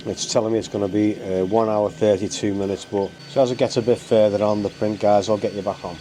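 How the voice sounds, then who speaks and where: A man's voice: lowish voice, fairly even intonation, fast talker, mellow, a little hiss or hum. A man with a cold and a stuffy, bunged-up voice, hosting his hobby channel in a rambling casual how-to with lots of ums and uhs. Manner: rambling, full of ums and uhs, keeps saying guys.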